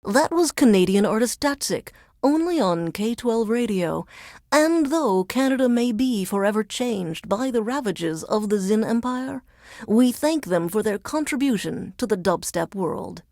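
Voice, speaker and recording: A woman's voice: a little high, animated, measured pace, darkish tone, no echo, clean studio sound. An actress playing a resentful female news reporter forced to work as a radio DJ in a video game, with a formal, dramatic, sardonic newscaster delivery. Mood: theatrical, announcing. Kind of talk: scripted radio DJ links in newsreader delivery.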